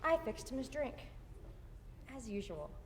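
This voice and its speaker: A woman delivers a dry, sardonic stage monologue with a high, bright voice that swings widely in pitch. She takes her time with the lines. The sound comes from far back in a large auditorium, with a long hall reverb trailing after her words. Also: big pitch swings, measured pace, noisy background. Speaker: student performer in reader's theater. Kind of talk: stage monologue in a musical scene. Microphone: far room mic.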